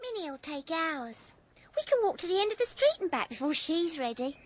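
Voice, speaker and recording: An actress with a working-class English accent playing a sharp, larky young Edwardian woman in a radio drama, blunt and bantering. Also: extremely high pitch, very animated, moderate pace. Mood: pert, defensive.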